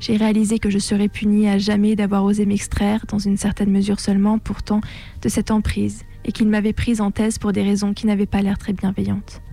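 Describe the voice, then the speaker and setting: Even-toned young woman reading a testimony. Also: medium pitch, fairly quick, faint music behind. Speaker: narrator of a personal testimony on a radio show. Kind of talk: scripted first-person narration, literary testimony read aloud.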